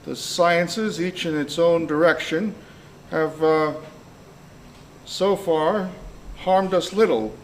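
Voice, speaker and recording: Man playing a dogged, higher-voiced detective. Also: slow, pauses often, slight background noise, rough recording. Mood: grave, reading aloud. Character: inquisitive male police detective, dogged and curious. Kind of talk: amateur live radio-play acting.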